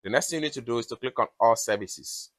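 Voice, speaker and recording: A man with a mid-pitched voice narrates a step-by-step tutorial. He gives instructions one at a time, often repeating his phrasing. The sound is dry and close to the mic. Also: fast talker, fairly bright tone, dry recording. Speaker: tutorial host.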